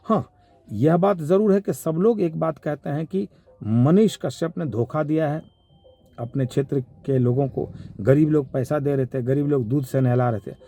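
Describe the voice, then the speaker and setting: A man with a Bihari accent giving informal spoken commentary in a measured, halting, explanatory way. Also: on the high side, warm tone. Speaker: commentator giving his analysis of a viral call.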